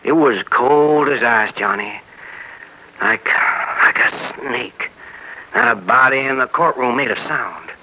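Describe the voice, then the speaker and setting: A man's voice: medium pitch, slow. An actor playing a worried, insistent insurance man in a 1950s radio drama, urgent and emphatic. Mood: haunted, intense. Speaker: supporting actor. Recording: muffled old radio recording.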